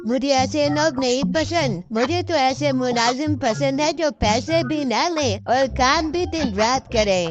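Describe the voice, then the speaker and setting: A woman voicing a miserly, irritable rich landlord, bossy and grumbling, in an animated story. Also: high voice, a little slow, few pauses, lo-fi sound. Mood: grumbling, demanding. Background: music.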